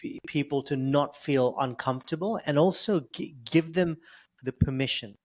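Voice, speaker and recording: A man's voice: a little high, normal speed. A man talking as an interview guest who stutters, with repeated sounds and blocks, speaking openly and with humor. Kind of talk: conversational interview.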